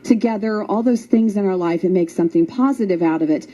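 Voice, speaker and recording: A woman's voice: mid-range voice, quick pace, mellow. A woman hosting a radio show in a chatty, encouraging, conversational way. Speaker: radio host.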